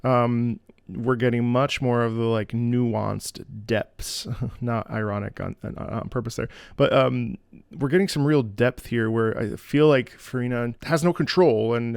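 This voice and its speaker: A man's voice: mid-range voice, steady tone, unhurried, creaky, darkish tone, clear, resonant tone, dry recording, clean studio sound. A man hosting a music reaction video, talking in a chatty, enthusiastic, casual way. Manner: chatty, enthusiastic, with many fillers and restarts.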